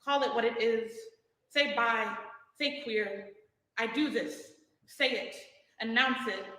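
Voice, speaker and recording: A woman performing spoken word poetry with deliberate, declamatory phrasing on stage in a hall. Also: fairly high pitch, steady tone, bright, forceful tone. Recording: echoey hall with long reverb.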